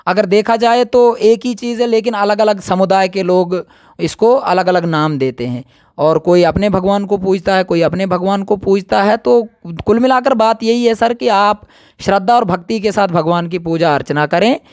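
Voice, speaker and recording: Man, high pitch, dry sound, emphatic, repetitive, unscripted opinion interview. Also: normal speed, darkish tone, no room sound.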